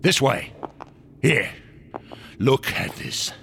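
An actor in a radio drama playing a fanatical, temperamental artist, rambling and stammering with feverish intensity in a gravelly, raspy voice. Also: male voice, mid-range voice, expressive, drawn-out words, a bit bright, slight background noise, full character voice. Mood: feverish zeal. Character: fanatical, temperamental male artist of monstrous museum exhibits.

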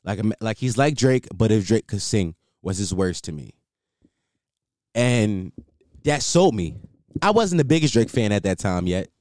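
A man's voice: fairly low pitch, expressive, measured pace, darkish tone, dry recording, clean studio sound. A young man rambling casually as a solo podcast host, repeating himself and filling with "like".

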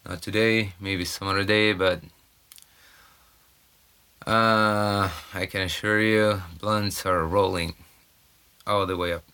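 Brazilian male podcast host, low voice, bright edge, loose halting monologue on a phone mic. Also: steady tone, clear, resonant tone. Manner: rambling, halting, with many fillers and restarts. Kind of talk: casual solo podcast monologue. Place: off-grid home in the mountains. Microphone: cell phone.

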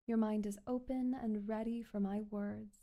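A woman performing an ASMR hypnosis roleplay as a calm, controlling doctor, speaking in a soothing, repetitive, coaxing way. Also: medium pitch, normal speed, dull audio. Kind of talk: ASMR hypnosis roleplay, guided relaxation script.

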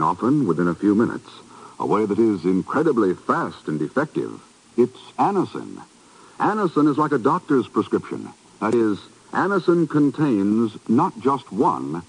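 A man with an American accent reading scripted announcements and sponsor pitches in polished, emphatic 1950s radio style. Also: poor audio. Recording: vintage 1950s radio broadcast. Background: music.

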